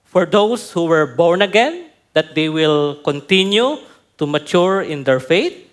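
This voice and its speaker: A male pastor giving a conference talk, telling a personal story in a slow, reflective way. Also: on the high side, lively intonation. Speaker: pastor, speaker. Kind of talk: sermon talk.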